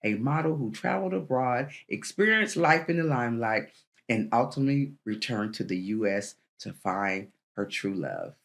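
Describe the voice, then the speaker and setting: A woman's voice: very deep voice, animated, moderate pace. Woman in her sixties hosting an interview. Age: 60s. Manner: warm affirmations, reads closing remarks. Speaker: podcast host. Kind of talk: interview chat, then scripted outro.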